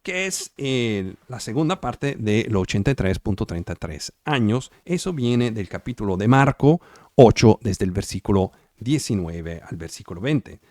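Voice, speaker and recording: A man's voice: lowish voice, lively intonation, moderate pace, darkish tone, no echo, clean studio sound. An Italian-born man preaching in Spanish with an Italian accent, emphatic and repetitive, checking whether his listeners follow. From Italy. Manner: emphatic, repetitive, asks the audience if they follow. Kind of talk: sermon over video call.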